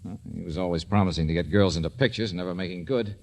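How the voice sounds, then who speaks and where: An actor playing a wry, brisk, tough private detective in a 1940s radio drama, with clipped, assured wisecracks. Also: male voice, sits low, creaky, darkish tone. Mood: businesslike.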